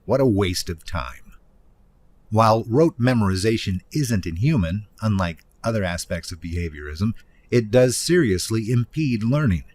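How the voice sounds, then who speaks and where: Male narrator, scripted nonfiction read, instructive, emphatic, deliberate stresses. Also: lowish voice, expressive, darkish tone. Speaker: author narrating own audiobook.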